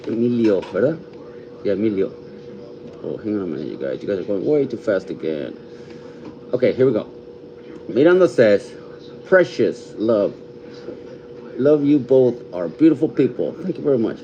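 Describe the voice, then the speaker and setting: A man in his fifties from California hosting a casual livestream from home, reading out viewer comments and names, thanking people warmly and slipping in Spanish phrases. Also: lowish voice, muffled recording, a little hiss or hum. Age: 50s. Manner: warm, thankful, reads out viewer names slowly; mixes Spanish phrases into English. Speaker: livestream host.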